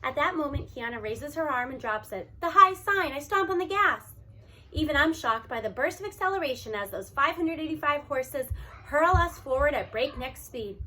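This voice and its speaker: A woman reading a children's novel aloud in an expressive, animated storytelling manner. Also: high voice, moderate pace, poor audio. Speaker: audiobook reader.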